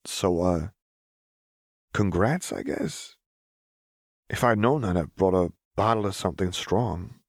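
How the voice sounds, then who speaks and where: A man's voice: low voice, slow, vocal fry, long pauses, no room sound, clean studio sound, full character voice. A man acting a brash, slangy young undercity guy. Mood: wry. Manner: emphatic, slangy, casual. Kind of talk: audiobook character dialogue.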